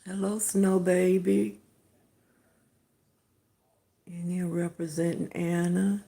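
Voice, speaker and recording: An older woman with a distinctive voice, hosting a livestream and chatting affectionately with her viewers in an unhurried, chuckling way. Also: lowish voice, level tone, gravelly, dark tone. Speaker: livestream host greeting viewers. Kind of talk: casual chat answering comments.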